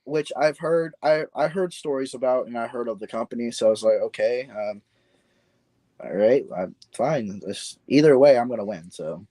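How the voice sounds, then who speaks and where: A man's voice: mid-range voice, moderate pace, mellow, no echo. A man chatting casually as a podcast guest, laid-back and rambling, often restarting his sentences. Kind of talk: casual chat.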